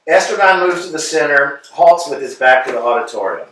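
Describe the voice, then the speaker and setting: Stage-direction reader, male, plain measured delivery; distant, roomy recording with a faint hiss. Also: a little high, lively intonation, fairly bright tone. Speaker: narrator reading stage directions. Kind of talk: reading stage directions aloud. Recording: echoey room from far off, faint noise.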